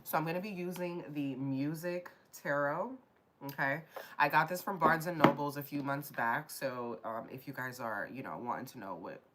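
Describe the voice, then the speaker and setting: A low-voiced woman with a stuffy, nasal sound as she gets over a cold. She rambles casually and talks straight to her viewers during a live tarot stream. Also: moderate pace, background noise. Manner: chatty, rambling, addresses viewers directly.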